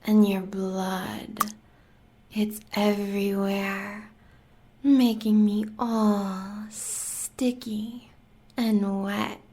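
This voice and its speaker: Slow, sadistic American huntress villainess. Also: female voice, medium pitch, little pitch movement, a little mellow, a little hiss or hum. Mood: sweetly taunting. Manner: taunting, savoring words slowly, often dropping to a whisper. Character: sadistic, seductive huntress lover of a werewolf villain. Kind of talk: audio roleplay villain acting.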